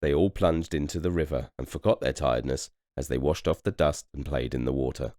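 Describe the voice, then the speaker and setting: Very deep-voiced man reading a storybook. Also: brisk, no room sound, clean studio sound. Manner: expressive storytelling. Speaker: storybook reader and host. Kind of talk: scripted children's story narration.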